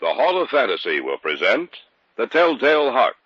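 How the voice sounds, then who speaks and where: Old time radio announcer guy, portentous, husky, big swooping delivery, lo-fi. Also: mid-range voice, huge pitch swings, moderate pace, no echo. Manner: dramatic, portentous. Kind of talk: 1940s-style radio announcing. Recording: muffled old radio recording.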